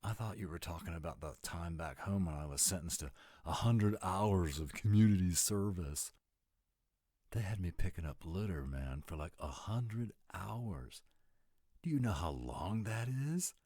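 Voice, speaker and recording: A man's voice: low-pitched, expressive, unhurried, dark tone, no room sound, clean studio sound. A man voicing a laid-back, goofy American dude in an audio roleplay, with a rambling, dim-witted slacker drawl and an American accent.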